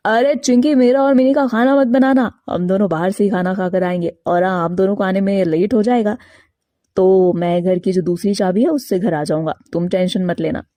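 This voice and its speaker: A woman plays a young married male crow in an animated moral tale. He is carefree but defiant, quick to brush off complaints. The voice is mellow and recorded very dry. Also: medium pitch, no room sound, voice pushed down, natural voice. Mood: casual. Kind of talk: Hindi animated moral story character.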